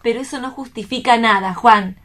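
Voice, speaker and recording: A woman's voice: fairly high pitch, a little fast, fairly bright tone, natural voice. A woman acting in a radio play as an earnest, tender, troubled friend, pleading expressively. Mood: pleading concern.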